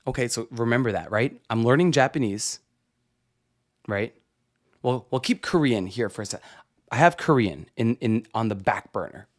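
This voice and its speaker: A young man talks straight to his listeners in a relaxed solo podcast. His voice sits at an ordinary middle pitch, and he keeps checking in with quick "right?" tags and easy restarts as he goes. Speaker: podcast host. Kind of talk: casual solo podcast monologue.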